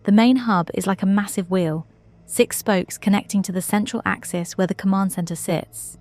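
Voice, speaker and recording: A woman acting as a young lieutenant aboard a space station. She records her log in a measured, reflective way, modest and dutiful, with an ordinary mid-range voice in audio drama style. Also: medium pitch, darkish tone, natural voice. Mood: focused.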